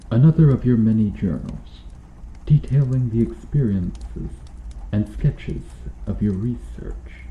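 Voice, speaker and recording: A man playing a cruel, vengeful medieval knight in an ASMR roleplay, speaking in formal archaic phrases with menacing, deliberate intensity. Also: lowish voice, creaky. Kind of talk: ASMR roleplay, slow dramatic monologue. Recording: muffled, roomy sound with background noise.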